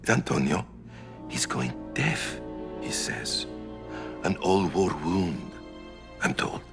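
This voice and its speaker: An actor playing a stern, suspicious Italian priest with an Italian accent in a video game. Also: male voice, deep voice, expressive, takes it slowly, gravelly, over music, exaggerated voice. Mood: cold, suspicious. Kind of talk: cinematic game dialogue.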